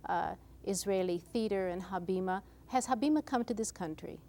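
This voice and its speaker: A woman's voice: mid-range voice, moderate pace, mellow. A woman from Chicago hosting a television interview, attentive and often murmuring agreement. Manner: attentive, frequent affirmations. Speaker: interview host. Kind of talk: interview conversation.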